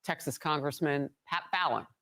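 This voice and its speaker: A woman with a deep, low voice reads the news with crisp, measured pacing. She sounds like a broadcast anchor working from a script. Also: a bit bright.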